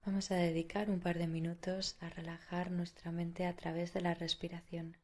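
Female meditation guide, Spain accent. Lowish register, flat steady intonation, soothing delivery with long pauses.

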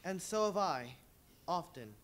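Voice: mid-range voice, animated, measured pace, fairly bright tone, slight background noise. A performer plays an earnest young son, sincere and kind. The voice swings up and down with feeling.

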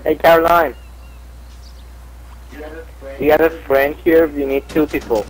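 A man chatting casually in an online game, talking haltingly and repeating himself. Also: a little high, steady tone. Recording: faintly noisy game voice chat.

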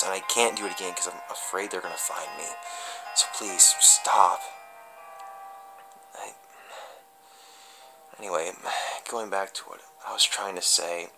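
A low-voiced man performs a creepy story as if confessing it to listeners. His delivery is slow and halting, with stumbles and stretched pauses, and his tone is very sharp and bright. Faint music plays behind him. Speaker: amateur dramatic reader. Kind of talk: dramatic reading of a horror story in a confessional vlog style.

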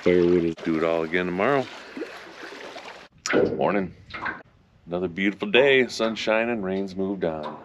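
A man in his fifties casually narrating his fishing trip from a small boat on a lake, relaxed and matter-of-fact. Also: low-pitched, moderate pace, pauses often, muffled recording, background noise. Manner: relaxed, matter-of-fact, upbeat when a fish bites. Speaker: fishing vlogger. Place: small aluminum boat on an open lake, outdoors. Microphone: action camera mounted in the boat.

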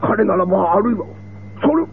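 An actor playing an old, impassioned, vengeful Italian mafia godfather in a Japanese radio drama, with a creaky voice. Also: sits high, expressive, normal speed. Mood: grief-stricken, accusing. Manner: theatrical, impassioned. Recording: tinny, noisy old radio recording.

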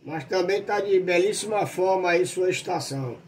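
A man's voice: high voice, normal speed. A Brazilian man talking casually as an amateur operator, heard over a two-way radio. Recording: faint radio noise.

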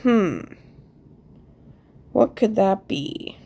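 Slow-paced mellow woman, stuffed-up nose. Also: medium pitch, animated. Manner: thinking aloud, self-deprecating. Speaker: player commenting on own gameplay. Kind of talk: casual voice-over on a word puzzle game.

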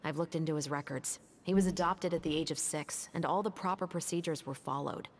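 An actress playing a calm, methodical detective captain who speaks in a measured, serious way. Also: female voice, deep voice, slight background noise, natural voice. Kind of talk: video game voice acting.

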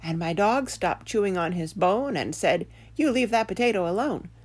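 A woman voicing an excitable, easily spooked male farmer in a children's tale, sounding exasperated. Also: mid-range voice, moderate pace, breathy edge. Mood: agitated.

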